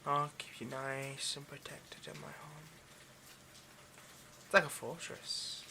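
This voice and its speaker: A man playing a possessive, condescending rich vampire in an intimate ASMR roleplay, domineering and hushed, often slipping into a whisper. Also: fairly high pitch, level tone, slow pace, vocal fry, noisy background. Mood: smugly protective.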